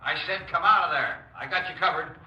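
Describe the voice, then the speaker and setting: Forceful night watchman, far off. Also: high voice, fairly quick. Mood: stern, challenging. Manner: shouted commands. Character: commanding male night watchman, armed and challenging. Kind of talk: 1950s radio crime drama acting. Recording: echoey, distant, dull old radio recording.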